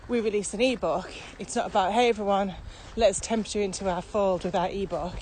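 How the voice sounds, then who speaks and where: A woman's voice: mid-range voice, steady tone, brisk, a little mellow. Woman chatting outdoors over ambient noise. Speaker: podcast co-host. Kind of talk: casual walking podcast chat. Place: walking outdoors across open fields.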